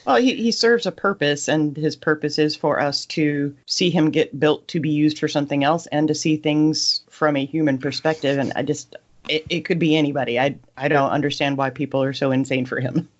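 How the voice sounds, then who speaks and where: A woman talks casually as a podcast co-host, giving her take on books. Her voice sits at a low pitch for a woman, and the audio is slightly muffled. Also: fairly even intonation, a little fast. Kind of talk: casual book discussion.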